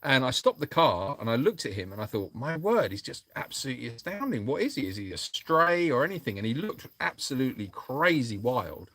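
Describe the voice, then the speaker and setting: A man's voice: mid-range voice, very animated, a little fast, few pauses, a bit bright, no room sound. A man co-hosting a casual livestream chat, telling a rambling anecdote and thanking viewers by name. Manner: rambling anecdotal storytelling with restarts. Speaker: sanctuary co-host.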